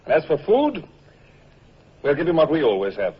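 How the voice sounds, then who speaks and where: An actor playing a dry, resolute British naval commodore in an old-time radio drama, formal, clipped and authoritative. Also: male voice, fairly high pitch, huge pitch swings, moderate pace, slightly rough, highs cut off, full character voice. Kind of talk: old-time radio drama acting and first-person narration.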